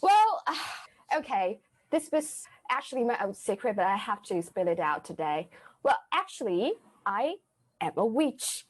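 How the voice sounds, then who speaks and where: A woman improvising an earnest former royal maid who is secretly a witch, speaking with halting phrasing. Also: mid-range voice, very animated, husky. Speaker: improv player. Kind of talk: improvised comedy scene.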